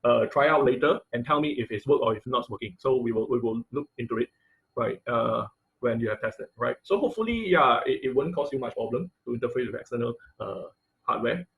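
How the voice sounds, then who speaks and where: A man giving an informal online technical lecture as the instructor, explaining things in a halting way over a video call. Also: mid-range voice, expressive, gravelly, fairly bright tone, poor audio.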